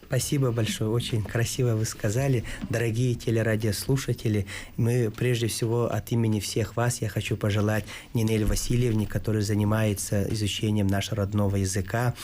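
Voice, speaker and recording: A man hosting a studio discussion on TV, a native Yakut speaker talking in a measured, formal way with frequent pauses. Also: lowish voice, flat delivery, a little mellow, slight background noise. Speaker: TV talk show host.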